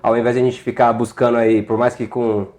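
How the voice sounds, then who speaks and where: A man, speaking casually and haltingly as an interview guest. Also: mid-range voice, level tone, darkish tone. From São Paulo, Brazil. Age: 30s. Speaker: interview guest, small business owner.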